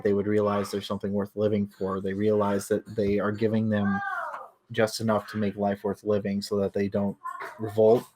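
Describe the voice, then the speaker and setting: A man's voice: fairly low pitch, noisy background. A man in a casual livestream book discussion, explaining things analytically and feeling his way through his thoughts.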